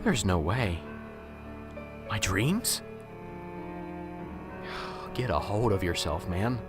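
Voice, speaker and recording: A man's voice: deep voice, expressive, extremely slow, music in the background, heavily acted. A man playing a nervous, unsure professor in an amateur radio drama, muttering anxiously to himself and stammering.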